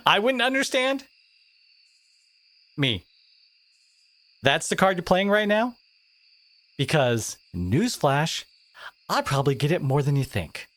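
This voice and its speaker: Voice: mid-range voice, big pitch swings, slow pace, long pauses, a bit bright. An actor playing a brash teenage school bully who secretly cares, in an exasperated, confrontational audio drama monologue addressed to the listener. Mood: bitter, wounded. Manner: exasperated, confrontational, sighing, emphatic.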